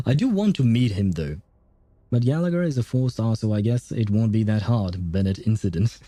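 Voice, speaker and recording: A man's voice: mid-range voice, dark tone, no echo. A man livestreaming a game, giving casual commentary and chatting with his viewers.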